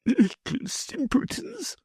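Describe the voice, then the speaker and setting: A man reading an audiobook, voicing a haughty, scheming noblewoman in a high, shrill, falsely sweet voice. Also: sing-song, unhurried, creaky, darkish tone, no echo, clean studio sound, full character voice.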